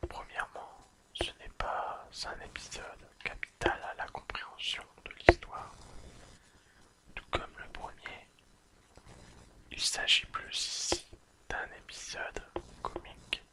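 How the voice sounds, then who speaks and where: Slow ASMR whisperer. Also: low-quality recording. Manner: careful reading, apologises and corrects slips. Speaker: ASMR video creator. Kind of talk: whispered ASMR episode recap.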